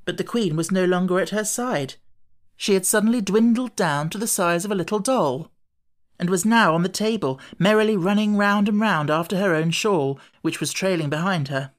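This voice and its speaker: A woman reading a children's classic as an audiobook narrator, in an expressive storytelling manner. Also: lowish voice, moderate pace.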